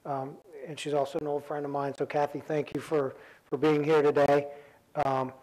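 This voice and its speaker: Male panel moderator, flat even delivery, lots of ums and restarts, conference room mic. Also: on the high side, rough recording. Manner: halting, many ums and restarts.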